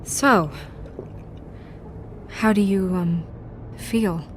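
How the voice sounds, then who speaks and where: A woman playing a stern but caring leader in a video game, reserved and proper, with measured, careful delivery. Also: medium pitch, slight background noise. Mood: shaken, tender.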